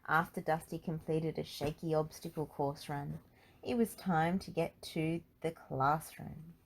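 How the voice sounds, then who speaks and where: A woman's voice: sits low, fairly even intonation, a little slow, low-quality recording. A woman reading a children's storybook aloud as narrator. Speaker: storybook reader.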